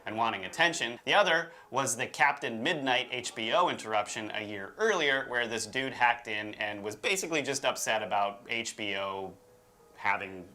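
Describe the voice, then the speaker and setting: A man's voice: medium pitch, lively intonation, normal speed, sharp tone. A man co-hosting with casual commentary and banter, informal and jokey in a slangy way. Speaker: co-host.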